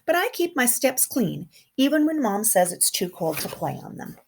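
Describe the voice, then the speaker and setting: A woman kindergarten teacher reading a picture book aloud to young children in an expressive way, with simple asides and questions. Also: a little high, slight background noise. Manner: animated, simple wording, pauses to comment and question.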